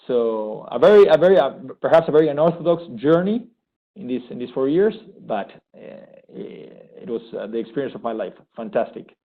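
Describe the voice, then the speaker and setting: A man, giving an eager, halting testimonial with many restarts. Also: medium pitch, very animated. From Argentina. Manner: enthusiastic, halting with frequent restarts. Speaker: graduate program participant. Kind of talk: unscripted testimonial. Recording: thin phone-like sound.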